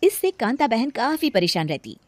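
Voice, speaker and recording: A woman narrating a scripted animated moral tale as a storyteller. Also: fairly high pitch, moderate pace, no echo.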